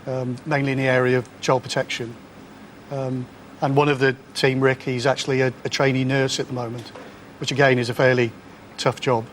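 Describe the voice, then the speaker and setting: A man's voice: mid-range voice, level tone, a little fast, slight background noise. A man in his fifties, captain of a quiz team, chatting in a hesitant way with frequent ums. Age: 50s. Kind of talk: casual chat on a quiz show.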